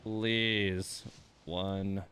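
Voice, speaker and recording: Exasperated man streaming, slow and clear. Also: low voice, flat delivery, sharp tone. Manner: exasperated, grumbling, self-deprecating. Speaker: live streamer and host of a gaming channel. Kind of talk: casual livestream chat while playing a gacha game. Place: indoors at home computer.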